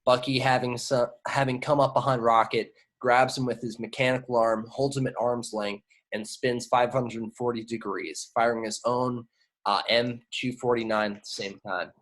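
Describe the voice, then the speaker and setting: Casting: male stage-directions reader, medium pitch, plain scripted narration with occasional stumbles. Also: fairly even intonation, moderate pace, fairly bright tone. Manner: stumbles over and repeats words. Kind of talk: scripted action narration at a table read.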